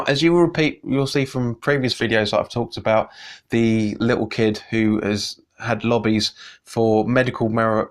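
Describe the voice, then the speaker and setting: A man hosting a casual news roundup vlog, sounding nasal from a cold, rambling, restarting sentences and getting heated in his opinions. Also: on the low side.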